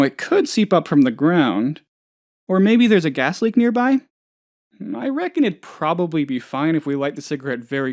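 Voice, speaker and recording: An actor playing a naive, anxious, scatterbrained office boss who rambles and gets sidetracked, in a video game. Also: male voice, fairly high pitch. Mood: casual, clueless. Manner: rambling, absent-minded, easily sidetracked. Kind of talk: video game character voice lines.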